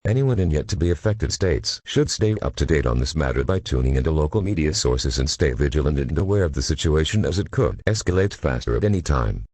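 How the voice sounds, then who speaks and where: A man voicing a grave emergency alert announcer, reading a formal doomsday bulletin in a flat official way. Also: extremely low pitch, fairly quick, few pauses, slightly rough, exaggerated voice.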